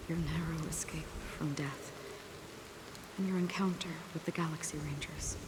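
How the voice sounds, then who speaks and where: A woman playing a solemn, melancholy wanderer who guides souls toward death, speaking softly in measured, breathy phrases for a video game. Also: deep voice, fairly even intonation, noisy background, natural voice. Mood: gentle, resolute. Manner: slow, soft, measured, breathy trailing phrases. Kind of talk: dramatic video game voice acting.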